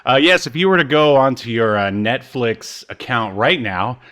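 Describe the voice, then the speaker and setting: A man with a medium-pitched voice talks as a podcast host in loose, casual chat. His delivery rambles, and he has a wry, self-deprecating way of putting things. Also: normal speed, fairly bright tone.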